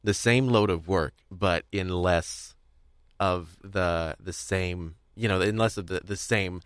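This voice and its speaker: A man's voice: sits low, takes it slowly, clean tone, no echo, clean studio sound. A man hosting a podcast in casual conversation, speaking in a hesitant, rambling, stop-start way. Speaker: podcast host. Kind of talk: casual discussion of audio drama.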